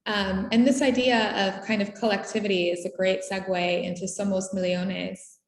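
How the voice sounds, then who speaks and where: A woman's voice: mid-range voice, flat delivery, moderate pace, reverberant. A woman curator interviewing an artist in a virtual conversation, supportive and reflective. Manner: supportive, reflective, asks open questions. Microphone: video call.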